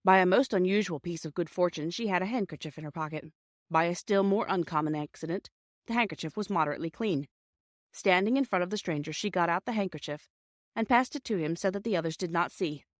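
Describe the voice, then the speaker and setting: A woman's voice: fairly low pitch, fast, no room sound, clean studio sound. A woman narrating a children's story from a script, as an audiobook reader.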